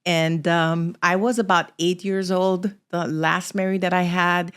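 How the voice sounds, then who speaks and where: Middle-aged woman, smooth clear tone, slightly low pitch, conversational storytelling. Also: steady tone, unhurried, fairly bright tone. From Haiti. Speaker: podcast guest, small business founder.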